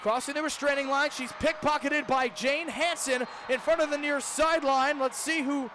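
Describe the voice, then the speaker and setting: A young male radio broadcaster with an unusually high-pitched voice calls live sport. He follows the action closely in dramatic, detailed phrases. Outdoor stadium ambience sits faintly behind him. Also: fairly even intonation, moderate pace, background noise. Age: young adult. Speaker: play-by-play broadcaster.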